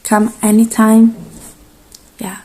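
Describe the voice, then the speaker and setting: A woman speaking softly and gently in a halting, reassuring ASMR roleplay, slipping between quiet voice and whisper. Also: fairly high pitch, monotone. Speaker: ASMR performer playing a robot repair technician.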